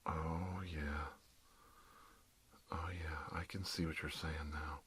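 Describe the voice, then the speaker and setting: A man playing a kindly older doctor in an ASMR role-play, speaking softly in an unhurried, hesitant, reassuring murmur. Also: a bass voice, level tone, a little hiss or hum, exaggerated voice. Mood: attentive, murmuring. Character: kindly older male doctor doing emergency dental work.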